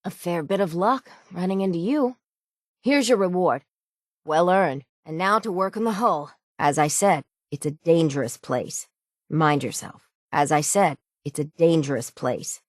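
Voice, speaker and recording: An actress playing a weathered, determined harbor seafarer in a video game, gruff and dutiful, with salty, old-fashioned folksy phrasing. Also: female voice, lowish voice, a little slow, dry recording, studio quality, natural voice. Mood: businesslike. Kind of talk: video game character dialogue.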